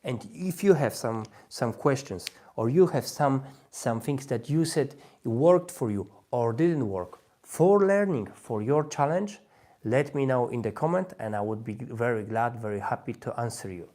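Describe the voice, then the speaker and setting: Male livestream host, native Polish, Polish accent, medium pitch, informal and halting with frequent repeats. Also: lively intonation, mellow. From Poland. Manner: halting, repeats and rephrases phrases. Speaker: host, language learner giving advice. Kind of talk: informal livestream talk on language learning.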